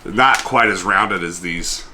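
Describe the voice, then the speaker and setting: Chatty man, bright tone. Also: sits low, unhurried, a little hiss or hum. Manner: rambling, self-deprecating, chatty. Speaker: collector vlogger. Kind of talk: casual show-and-tell vlog.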